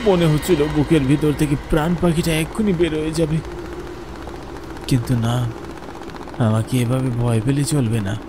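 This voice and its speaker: A man's voice: on the high side, steady tone, moderate pace, pauses often, lightly acted. Man playing a frightened horror-story narrator, noisy background. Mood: tense narration. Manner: dramatic, fearful, pleading in dialogue. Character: frightened family breadwinner stranded among ghosts, narrating. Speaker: first-person narrator and protagonist. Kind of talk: dramatic scripted horror cartoon narration. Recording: noisy ambience behind the voice.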